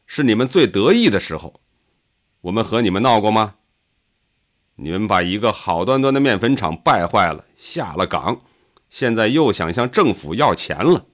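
Indignant farmer scolding, swinging in pitch, acted by a man. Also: fairly bright tone. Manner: scolding, rhetorical questioning. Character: indignant plain-spoken male farmer shouting down a crowd. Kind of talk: audiobook character voice.

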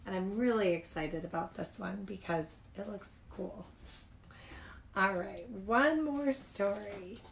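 A woman reading picture books aloud to young children like a childcare teacher, expressive and informal. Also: mid-range voice, takes it slowly, creaky, a little hiss or hum, poor audio.

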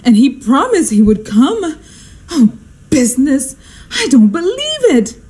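A woman acts out an imperious society hostess in a radio play. Her voice is high and swings widely, with drawn-out, slow delivery. She is melodramatic, easily outraged and forever scolding. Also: very animated, warm tone. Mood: outraged, wailing. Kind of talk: radio drama acting.

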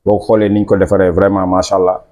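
A man with a Senegalese accent, the club's marketing officer, presenting team merchandise to camera in a steady selling tone. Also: sits low, quick pace. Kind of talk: promotional product presentation. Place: small office indoors.

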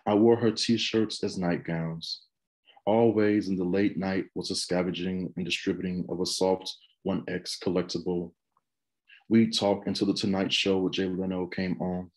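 A man in his thirties reading poetry in a measured, deliberate way, in short phrases, over a video call. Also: sits low, little pitch movement, poor audio. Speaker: poet. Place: small room with bookshelves, indoors. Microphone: video call computer microphone.

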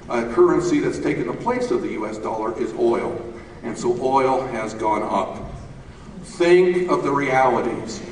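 An older man in his sixties speaks from a podium in a big, heavily reverberant hall. His voice is slightly high for a man, and he delivers his formal address deliberately and with emphasis. The microphone sounds distant. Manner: deliberate, emphatic oratory with short measured phrases. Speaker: commencement speaker. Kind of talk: formal public speech. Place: large auditorium stage. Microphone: podium gooseneck microphone, heard through the hall. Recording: very echoey hall, distant mic, faint noise.

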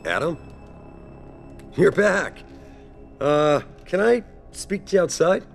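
An actor playing a brisk, paternal middle-aged boss in a video game, familiar and commanding. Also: male voice, fairly high pitch, big pitch swings, gravelly, soft background music, full character voice. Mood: urgent, commanding. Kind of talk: video game cutscene acting.

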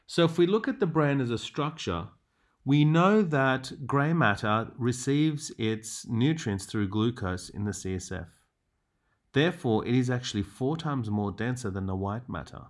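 Man giving an instructional lecture. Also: lowish voice. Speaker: lecturer in radiology. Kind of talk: scripted lecture narration over slides.

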